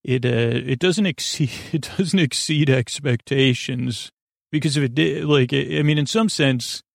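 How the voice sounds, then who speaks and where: A man hosting a sleep podcast, talking in a meandering, halting monologue full of restarts and tangents with a creaky voice. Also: mid-range voice, no room sound, clean studio sound. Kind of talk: rambling late-night monologue.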